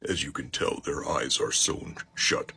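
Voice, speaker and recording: A man voicing an enigmatic male audiobook character with an emotionless, almost computer-generated delivery, flat and slow. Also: a bass voice, breathy edge, pitched below the performer's own voice, exaggerated voice. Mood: eerie, detached.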